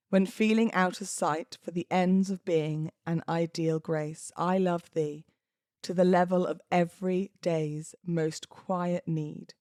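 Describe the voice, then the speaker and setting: A British woman hosting a podcast, moving between informal talk and expressive readings of verse. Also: fairly low pitch, no echo, clean studio sound. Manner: chatty, informal, giggly. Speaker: podcast host. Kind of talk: casual podcast chat with dramatic poetry readings.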